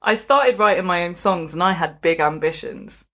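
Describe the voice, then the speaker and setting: Low-pitched woman reading aloud, giggly. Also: normal speed, bright, forceful tone. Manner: giggly, often breaking off to comment. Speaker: podcast host reading out a magazine story. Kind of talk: casual chat and amused reading aloud.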